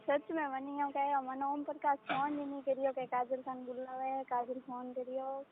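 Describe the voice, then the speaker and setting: A woman's voice: sits high, completely flat delivery, brisk. A woman with a Rajasthani accent talking casually on a private phone call. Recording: tinny noisy phone line.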